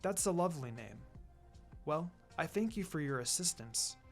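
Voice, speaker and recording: A man voicing a gentle, soft-spoken teenage boy in a story, calm, polite and measured. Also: fairly high pitch, soft background music. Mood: warm, polite. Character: gentle, pretty teenage boy, mistaken for a girl.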